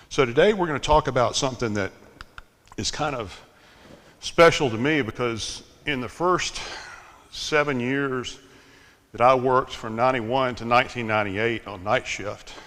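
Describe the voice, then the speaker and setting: Older man, monotone talk in a hall. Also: medium pitch. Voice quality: slightly monotone. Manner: relaxed, self-deprecating, loose with fillers. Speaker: conference speaker. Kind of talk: conference presentation. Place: conference hall. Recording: long hall reverb.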